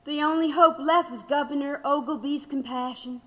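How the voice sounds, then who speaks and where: An actress plays a condemned man's wife looking back in an audio drama. Her voice sits somewhat high, measured and full of regret and bitterness. A hollow reverb trails her words. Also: fairly bright tone. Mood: bitter, reflective. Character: grieving wife of a condemned man, bitter and reflective.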